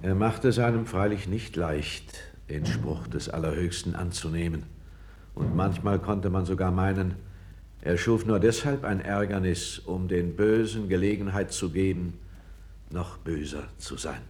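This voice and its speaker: An actor playing a calm, candid foreign court councillor, speaking with measured, deferential gravity in a 1960s radio play. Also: male voice, low voice, a little hiss or hum. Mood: reflective, pointed. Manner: measured, grave, deferential yet frank.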